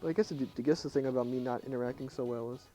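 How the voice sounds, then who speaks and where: A young man talks in a low, hushed voice, chatting casually to viewers while he plays. His tone is mellow and relaxed. Faint game music runs underneath. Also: very fast. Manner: quiet and subdued, talking softly at night. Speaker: streamer. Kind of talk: casual live game commentary.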